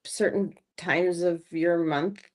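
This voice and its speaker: A woman's voice: lowish voice, steady tone, takes it slowly. A middle-aged woman co-hosting a podcast in casual chat, talking in a chatty, teasing way with lots of "like". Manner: chatty, teasing, says "like" a lot. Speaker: podcast co-host.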